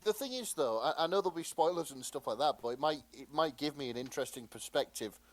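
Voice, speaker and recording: A man's voice: on the high side, animated, quick pace, no room sound. A man co-hosting a podcast, chatting casually in an informal, slightly hesitant and sweary way. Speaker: podcast co-host.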